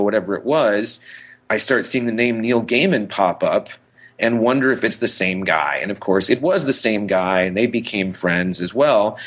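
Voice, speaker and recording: A man's voice: medium pitch, fairly bright tone. A man co-hosting a podcast, chatting casually as an enthusiastic fan and rambling as he tells stories. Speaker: podcast co-host.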